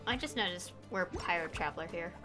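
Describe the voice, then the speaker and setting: A woman's voice: fairly low pitch, lively intonation, fairly quick. A woman giving casual live commentary as she plays, thinking aloud as a game streamer. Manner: thinking aloud, often chuckling. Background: game music.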